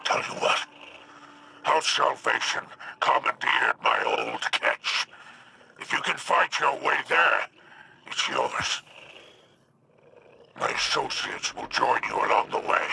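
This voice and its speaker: An actor voicing a surly, greedy male alien crime boss with a gravelly growl in a video game. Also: medium pitch, wildly swooping pitch, takes it slowly, pauses often, bright, forceful tone, slight background noise, exaggerated voice. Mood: grudging, scheming. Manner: grudging, bargaining menace.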